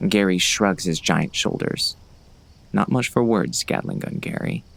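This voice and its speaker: An actor playing a wry, uneasy gunslinger, narrating a western audiobook in a dry, brooding manner. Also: male voice, low-pitched, lively intonation, measured pace, gravelly, a bit bright, full character voice. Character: terse, uneasy gunslinger on horseback, wry and weary.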